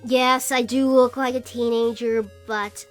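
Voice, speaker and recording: Woman in her twenties, higher-pitched voice, casual rambling vlog talk, hesitant phrasing, background music. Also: flat delivery, a little slow. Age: 20s. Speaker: vlogger and podcast host. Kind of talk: casual shoutout vlog chat.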